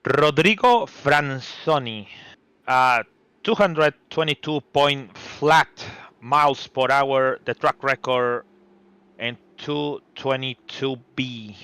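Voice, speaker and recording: Male color commentator, slow, halting delivery, smooth clear voice. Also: medium pitch, pushed and bright, no room sound. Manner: hesitant, many fillers, searching for words. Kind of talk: sim racing broadcast commentary.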